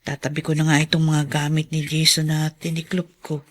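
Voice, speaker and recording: A woman's voice: sits low, monotone, brisk. An actress playing an upright, long-suffering middle-aged mother with failing eyesight, emotive and emphatic in a radio drama style. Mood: musing, fretful. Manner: emotive, emphatic, moralizing delivery. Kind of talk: Filipino radio drama acting.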